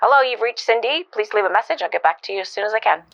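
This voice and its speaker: A woman's voice: mid-range voice, expressive, racing, creaky, fairly bright tone, muffled recording. A woman voicing a sweet, friendly personal voicemail greeting.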